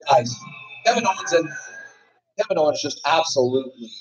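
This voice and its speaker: A man hosting a casual wrestling review, rambling and excitable, often restarting his sentences. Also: on the high side, a little fast, over music. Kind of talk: casual chat. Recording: dull lo-fi recording.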